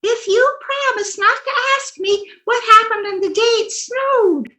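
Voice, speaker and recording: A woman's voice: very high-pitched, unhurried, sharp tone, slight background noise, exaggerated voice. A woman playing a scatterbrained, innocently coy wife in old-time radio comedy, with a childlike manner and roomy, echoing sound.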